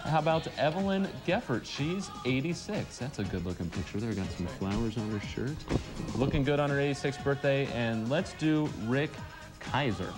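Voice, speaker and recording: A man's voice: mid-range voice, expressive, moderate pace. A man anchoring a local morning newscast from a studio, reading items and bantering lightly. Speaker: news anchor and reporter. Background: music bed, director's cues talking over him.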